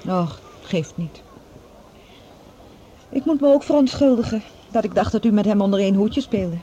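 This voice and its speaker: A woman's voice: medium pitch, animated, moderate pace, dark tone, slightly rough, highs cut off. A woman playing a cool, self-possessed character in a radio play, guarded but civil. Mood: dry, conciliatory. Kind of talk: Dutch radio play acting.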